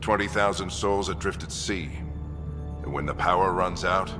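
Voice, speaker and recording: A male actor plays a middle-aged expedition leader in a video game. His voice is very deep, commanding and unbending. A clear cinematic score runs behind him. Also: normal speed, music in the background, natural voice. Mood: grave.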